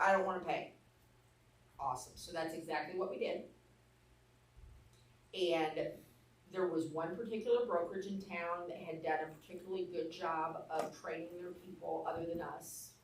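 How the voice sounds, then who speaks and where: A woman with an Arkansas accent teaching a real estate class, telling candid stories in a blunt, down-to-earth way. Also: lowish voice. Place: training room. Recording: echoey room, mic far off.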